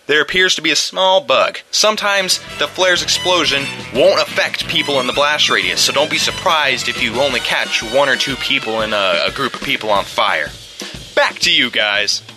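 A man delivers a scripted game review with lively, varied intonation over guitar music. His voice sits at a medium pitch and his delivery is explanatory. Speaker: segment contributor.

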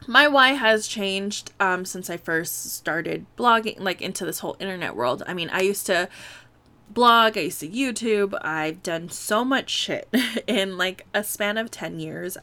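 A woman's voice: mid-range voice, pushed and bright. A woman in her late twenties hosting a casual solo podcast, rambling with lots of fillers, swearing freely and laughing often. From United States. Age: late 20s. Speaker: podcast host.

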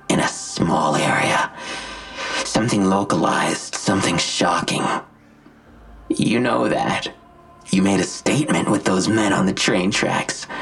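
An actor playing a smug, menacing vigilante villain in an audio drama, articulate and self-righteous, with a gravelly, husky voice and a deliberate, taunting delivery. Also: male voice, low-pitched, a bit bright, heavily acted. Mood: intense, persuasive. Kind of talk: full-cast audio drama acting.

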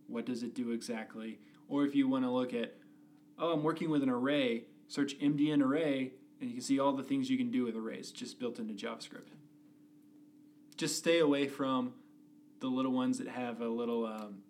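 A man's voice: mid-range voice, a little mellow, slight background noise. A man co-hosting a podcast who patiently explains coding documentation step by step in casual chat. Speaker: podcast co-host, experienced developer.

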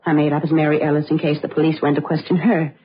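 Husky, bitter confessed murderess. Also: female voice, low-pitched, little pitch movement, quick pace. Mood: rueful confessing. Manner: confessional, theatrical. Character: bitter, self-confessed rotten young heiress turned murderess. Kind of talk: old-time radio crime drama acting. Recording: dull lo-fi old radio recording.

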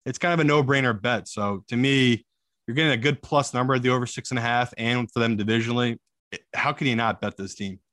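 A man's voice: medium pitch, quick pace, fairly bright tone, dry recording, clean studio sound. A man giving casual, opinionated sports betting analysis as a co-host, informal and enthusiastic, repeating phrases for emphasis.

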